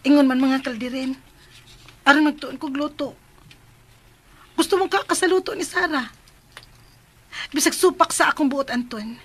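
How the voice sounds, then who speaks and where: A high, girlish-pitched female voice with a Cebuano Filipino accent. a lovesick, clingy young heroine of radio drama who sulks and pleads with the man she adores. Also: moderate pace, long pauses, fairly bright tone. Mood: pleading. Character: lovestruck, sulky, clingy woman pining for a man.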